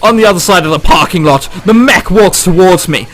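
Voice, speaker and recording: A man's voice: high-pitched, fairly quick, fairly bright tone. A man reading a visual novel aloud and breaking off into jokey, theatrical asides, with game music behind him. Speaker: let's play host.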